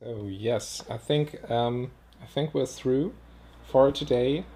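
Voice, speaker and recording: A man's voice: medium pitch, takes it slowly, dark tone. Man moderating politely. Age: 30s. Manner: polite, searching for words with fillers. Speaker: host, moderator. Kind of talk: online seminar moderation.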